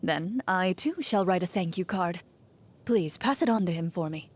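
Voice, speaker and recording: A woman playing a composed, dutiful young female champion duelist in a video game, speaking in a formal, measured, reserved way. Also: fairly low pitch, expressive. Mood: thoughtful, polite. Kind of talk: video game character voice lines, reflective monologue. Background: music under the voice.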